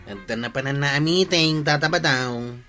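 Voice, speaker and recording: A man's voice: fairly high pitch, moderate pace, pushed and bright, faint music behind. A man playing an earnest younger village man who complains plaintively in a comedy radio drama. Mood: concerned.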